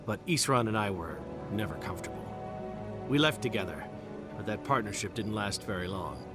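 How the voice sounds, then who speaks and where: A man's voice: lowish voice, lively intonation, a little fast, music in the background, close to an everyday voice. A man playing a frank, seasoned vampire hunter in a video game, wry and plain-spoken. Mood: wry, reflective. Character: frank, seasoned male vampire-hunter veteran at fort gate. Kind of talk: video game character acting.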